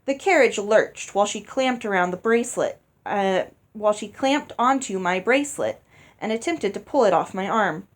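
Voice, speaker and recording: A woman reading a novel aloud as an expressive storybook narrator. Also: medium pitch, normal speed. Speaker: audiobook reader.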